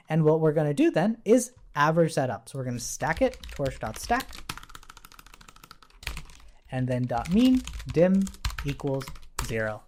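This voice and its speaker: A man gives an informal programming lesson, thinking aloud as he works. His delivery is explanatory and very varied in pitch. He regularly checks in with the listener as he explains each step. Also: darkish tone. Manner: explanatory, often checks in with "right?". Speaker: programming instructor.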